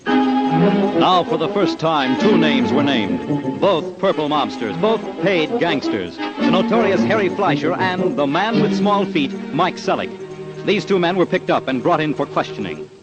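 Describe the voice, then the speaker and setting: A man's voice: on the high side, expressive, a little slow, dull audio. A man narrating an old-time radio crime documentary in a dramatic, punchy, emphatic scripted style. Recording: old radio with loud music.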